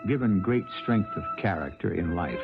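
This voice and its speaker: A low, creaky-voiced American man hosts a vintage radio mystery. He narrates solemnly and deliberately, with dramatic pauses. The sound is tinny 1970s radio with music underneath. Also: expressive.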